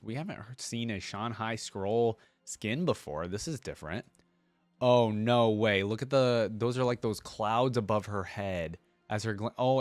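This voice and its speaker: A man's voice: mid-range voice, no room sound. Man giving casual reactions, close dry sound. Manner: informal, exclamatory, slangy. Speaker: reaction video host.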